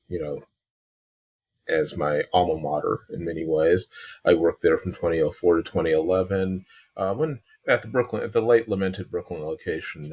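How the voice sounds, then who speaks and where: A man in his sixties from Chicago with a Chicago accent, a podcast guest telling his story in an unhurried way and often pausing to find words. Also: low-pitched, darkish tone. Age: 60s. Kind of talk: relaxed interview storytelling.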